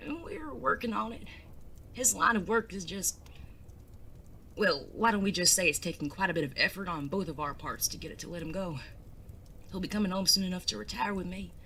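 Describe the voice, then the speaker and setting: A woman's voice: on the low side, fairly quick, bright, forceful tone, slight background noise. A woman acting in an audio drama as a grown bandit son, charming and evasive, with a genteel, wordy, hesitant way of talking. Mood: sheepish.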